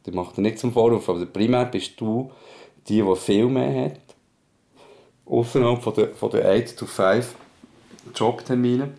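A man's voice: medium pitch, a little slow, vocal fry, long pauses, warm tone. A man with a Swiss German accent, a co-host, speaking casually in conversation. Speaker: co-host and father.

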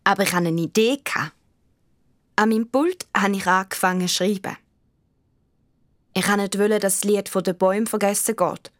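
A woman's voice: mid-range voice, moderate pace, long pauses, dry recording, clean studio sound, natural voice. A female performer playing a thoughtful young girl who narrates her own story in a radio play, with a Swiss German accent. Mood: reflective.

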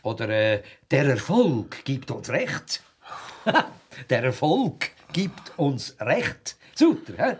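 An actor playing a chatty, sarcastic office colleague with a Swiss accent, jovial and rambling, in a radio drama. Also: male voice, medium pitch, sing-song, takes it slowly, slight background noise. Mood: mocking. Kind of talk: radio drama acting in casual chat.

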